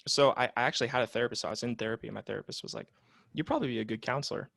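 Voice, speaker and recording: A man's voice: medium pitch, rapid-fire, vocal fry, fairly bright tone, no room sound, clean studio sound. A man in his late twenties, a psychotherapist, talking reflectively in a casual interview with plenty of fillers and restarts. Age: 20s. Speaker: psychotherapist guest.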